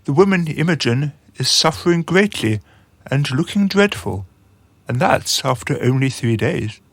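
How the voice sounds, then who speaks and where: A man's voice: a little high, lively intonation, unhurried, no echo. An actor playing a deferential, callous junior henchman in a radio drama, coldly reporting like a dutiful subordinate. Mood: coolly pleased.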